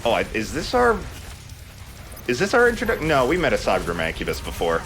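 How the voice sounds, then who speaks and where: A man's voice: medium pitch, huge pitch swings, brisk, a bit bright. A man giving casual, conversational video game commentary as a let's play host, explaining as he goes. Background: game music.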